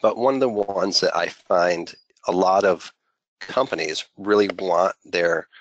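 A man presenting an unscripted software walkthrough as a consultant, conversational and peppered with filler phrases. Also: low-pitched, unhurried, no room sound, dull audio. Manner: conversational, heavy use of "you know" as filler.

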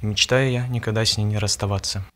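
A nineteen-year-old man from Dagestan, a music student, calmly reciting a short self-introduction. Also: on the low side, fast. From Dagestan, Russia. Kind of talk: scripted personal monologue.

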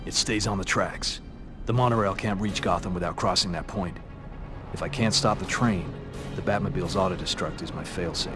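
A man's voice: sits low, a little fast, over music, lightly acted. A man playing a determined, brooding vigilante hero in a video game, terse and intense. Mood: grim resolve. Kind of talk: dramatic video game cutscene acting.

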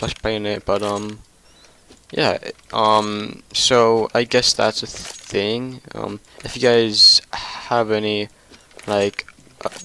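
A man's voice: lowish voice, sharp tone, slight background noise. Young man with a frequent stutter. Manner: stutters often, many fillers and restarts. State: tired. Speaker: gaming channel host. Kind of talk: casual commentary over gameplay.

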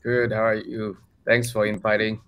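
A man's voice: fairly low pitch, completely flat delivery, normal speed, a bit bright, smooth. A man in his forties with a Malaysian accent, answering interview questions casually over a video call. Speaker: guest, crypto project team member. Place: bright room at home, video call.

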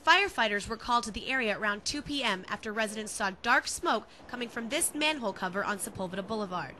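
High, bright American newswoman, some noise. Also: expressive, quick pace. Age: young adult. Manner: formal, enunciated newscaster delivery. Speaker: TV news reporter and anchor. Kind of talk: scripted news narration and reporting.

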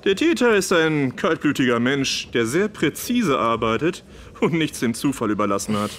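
Brusque, animated police detective. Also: male voice, mid-range voice, normal speed, slight background noise. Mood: matter-of-fact. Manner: brusque, drawling, pompous. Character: gruff, self-important, condescending Victorian police detective. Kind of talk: German radio play acting.

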